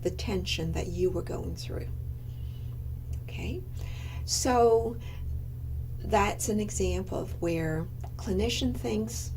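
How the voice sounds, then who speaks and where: Middle-aged woman lecturer, unhurried and mellow-toned, pauses often, asks the listener questions; faint hum behind. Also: medium pitch, rough recording. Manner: slow and deliberate, many pauses and fillers, asks listeners questions. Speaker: university lecturer. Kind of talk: recorded lecture. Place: recording studio.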